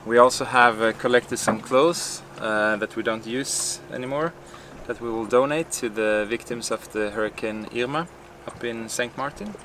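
A man, chatting casually to the camera on a sailboat deck, relaxed and hesitant with many fillers. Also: mid-range voice, normal speed, hardly any pauses. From Sweden. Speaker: sailing vlogger. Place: outdoors on a sailboat deck on a bay. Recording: distant camera mic with outdoor noise.

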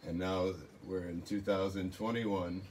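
A man in his thirties with a fairly low voice hosts a livestream, asking curious, easygoing questions. He is recorded close on a phone in a small room with faint hiss. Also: fairly even intonation, a little slow. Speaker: livestream host. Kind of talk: casual interview. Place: small room with reed-panel wall.

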